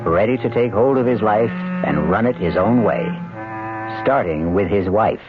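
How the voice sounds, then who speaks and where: An American man narrating a radio mystery as host, theatrical and wry, with a gravelly voice. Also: lowish voice, lively intonation, measured pace. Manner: wry, dramatic. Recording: tinny old radio broadcast under loud music.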